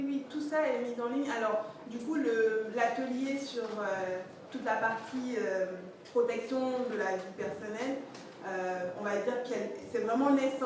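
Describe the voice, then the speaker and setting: A woman's voice: fairly high pitch, distant mic. A woman whose first languages are Yoruba and Fon gives a conference talk, speaking hesitantly with many fillers and restarts. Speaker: speaker at a masterclass. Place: conference room. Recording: echoey hall, from afar, faint noise.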